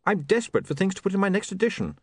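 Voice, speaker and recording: A man's voice: high voice, wildly swooping pitch, normal speed, dry recording, voice pushed up, full character voice. A man voicing a flustered, guilty young newspaper man with a hesitant, apologetic manner in an audiobook. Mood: desperate, pleading.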